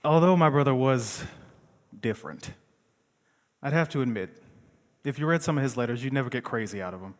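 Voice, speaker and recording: Animated, exasperated brother man acting, echoey hall. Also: medium pitch, fairly quick, long pauses, natural voice. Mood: conceding. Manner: theatrical, insistent, reasoning. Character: exasperated brother of a troubled artist. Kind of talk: stage play dialogue.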